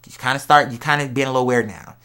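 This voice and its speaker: Casting: young adult American vlogger, bright forceful voice in a middle register, slangy confessional chat, candid and emphatic. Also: mid-range voice, fast talker. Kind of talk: casual confessional vlog chat to followers.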